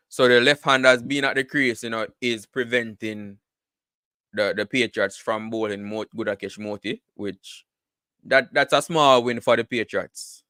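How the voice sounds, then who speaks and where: A man's voice: medium pitch, a little slow, bright, forceful tone, no echo. A man giving casual live cricket commentary, full of repeated words and "you know" fillers.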